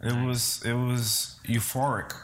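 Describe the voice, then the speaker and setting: A man's voice: medium pitch, level tone, measured pace. Earnest New man, noisy recording. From Bronx, New York City, early childhood in the Dominican Republic. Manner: earnest, hesitant, repeats words. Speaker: interview guest, singer-songwriter. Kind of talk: casual interview talk.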